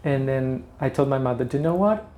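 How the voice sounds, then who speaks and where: A man's voice: mid-range voice, lively intonation, fairly quick, dark tone, a little hiss or hum. A middle-aged man from Brazil with a Brazilian Portuguese accent, chatting as a guest and often restarting his sentences. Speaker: interior designer guest. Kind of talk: casual interview chat.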